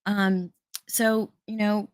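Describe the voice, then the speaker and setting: A woman's voice: mid-range voice, flat delivery, very slow, clear, resonant tone, no room sound. An American woman hosting an online show, talking casually and earnestly to her viewers, with frequent fillers and restarts. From United States. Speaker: host.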